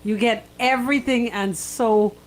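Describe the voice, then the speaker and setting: High-pitched Bahamian woman co-host. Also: fairly even intonation, a little slow, poor audio. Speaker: radio co-host. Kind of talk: casual radio banter and station promotion. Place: radio studio.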